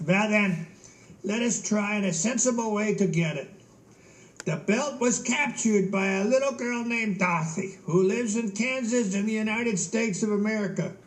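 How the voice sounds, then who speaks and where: Guy doing a blunt gnome general, calm and dry, bit echoey. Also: high voice, normal speed, pitched above the performer's own voice, natural voice. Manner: dry, matter-of-fact. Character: blunt, cool-headed male gnome general.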